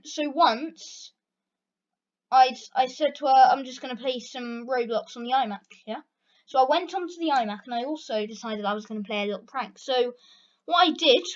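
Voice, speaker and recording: A British teenage girl chatting casually through a question-and-answer vlog, rambling with plenty of fillers. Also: high-pitched. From Britain. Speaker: channel host. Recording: dull lo-fi recording.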